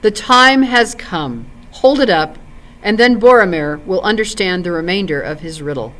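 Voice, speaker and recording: A woman acts out an old wizard from a fantasy storybook. He commands solemnly, stretching his words, with a varied, expressive pitch. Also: rough recording. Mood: solemn, commanding. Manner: slow, solemn.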